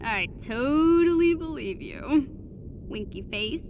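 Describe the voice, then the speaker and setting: An upbeat young American game heroine with a fairly high voice. She is casual and chummy, encouraging and teasing. A slight noise sits behind her. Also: lively intonation, slow pace, darkish tone, heavily acted. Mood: reassuring, teasing. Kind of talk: naturalistic game voice acting, casual chat.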